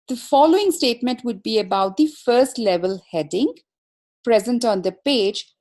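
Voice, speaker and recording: A woman's voice: mid-range voice, darkish tone. A woman presenting a webinar as a consultant, explaining material step by step in a formal, methodical way.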